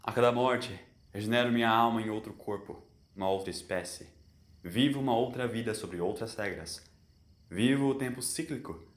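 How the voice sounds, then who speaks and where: A man's voice: lowish voice, moderate pace, roomy sound, natural voice. A man voicing a weary, bitter immortal alien in human form for an audio story, solemn and anguished.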